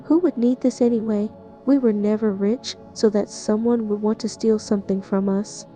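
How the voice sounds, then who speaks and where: A woman narrating a first-person horror story in a tense, even manner. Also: mid-range voice, moderate pace, faint music behind, poor audio. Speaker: narrator playing the listener's character.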